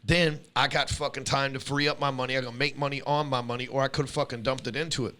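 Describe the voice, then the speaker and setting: A man's voice: medium pitch, flat delivery, fast, sharp tone. Blunt profane man in his forties. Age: 40s. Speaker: podcast host. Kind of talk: casual chat.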